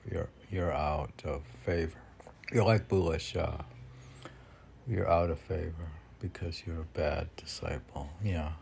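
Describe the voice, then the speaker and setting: A man with a gravelly, husky voice reading his own poems, with halting, self-deprecating commentary in which he talks back to himself. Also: low voice, a little mellow. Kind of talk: poem reading mixed with rambling self-commentary.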